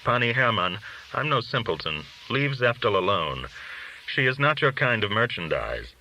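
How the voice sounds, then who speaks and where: A man's voice: medium pitch, big pitch swings, normal speed, pushed and bright, exaggerated voice, rough recording. A man reading an audiobook, voicing a blunt, proud reformed lock-picker who speaks curtly and firmly. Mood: firm, indignant. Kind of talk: audiobook character dialogue.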